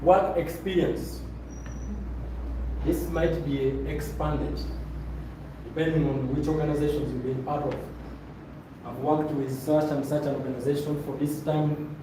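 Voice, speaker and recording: A fairly high-pitched man with a Zambian accent leads a motivational training session. He gives instructions, repeats himself and checks in with his listeners. The voice comes from well away from the mic in a very echoey, noisy room. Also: steady tone, unhurried, long pauses, recorded from a distance. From Luapula, Zambia. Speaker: trainer leading a presentation course. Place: indoor training room with stage.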